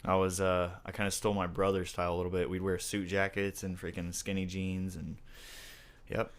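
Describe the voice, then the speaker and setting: A man's voice: low voice, completely flat delivery, fairly quick. A man hosting a casual interview, chatty and enthusiastic, tossing in slang like "dude" and "man". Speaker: interview host and musician.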